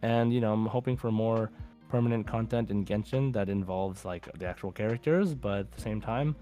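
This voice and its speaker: A man's voice: lowish voice, brisk, mellow, faint music behind. A man hosting a casual gaming podcast, talking in an enthusiastic, rambling way. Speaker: podcast host.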